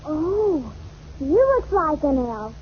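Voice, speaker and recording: A woman's voice: high voice, big pitch swings, slow, exaggerated voice. A woman playing an earnest, imaginative little girl who pretends to be a fairy queen in an old radio comedy. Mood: earnest make-believe. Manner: earnest, matter-of-fact make-believe. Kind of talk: 1940s radio comedy acting. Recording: muffled, noisy old radio recording.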